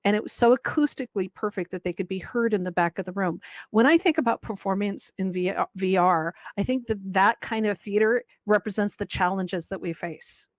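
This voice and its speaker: A woman's voice: medium pitch, fairly quick, no echo. A woman moderating a panel informally, in a wordy, rambling way. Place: virtual reality event, voice over personal microphone.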